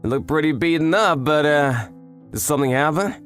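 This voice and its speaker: A man playing a tough but kind, doting yakuza in a manga dub, swinging from blunt and gruff to excitable. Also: on the high side, a little fast. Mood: gruff, caring. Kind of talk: animated manga dub character acting. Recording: voice over background music.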